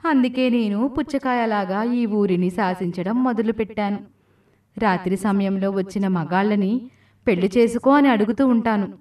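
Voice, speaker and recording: A woman's voice: medium pitch, normal speed, a little mellow, lightly acted. Distant-sounding cursed demon woman, acted by a woman. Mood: remorseful, confessing. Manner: commanding, threatening delivery. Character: cursed watermelon demoness demanding marriage, menacing then remorseful. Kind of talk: dramatic storybook voice acting.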